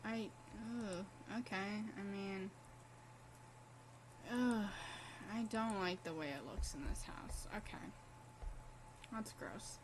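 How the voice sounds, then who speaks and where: A woman's voice: mid-range voice, slow, long pauses, noisy background. A young woman streaming a game in casual commentary, blunt, profane and impassioned as she talks.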